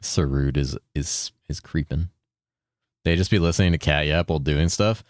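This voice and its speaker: A young man streaming a video game, chatting casually with his viewers in a relaxed, rambling way, with a deep voice he holds slightly higher than its natural pitch. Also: moderate pace, dry recording, studio quality. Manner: laid-back, rambling, swears a lot, reads chat aloud. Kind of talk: casual live-stream chat while gaming.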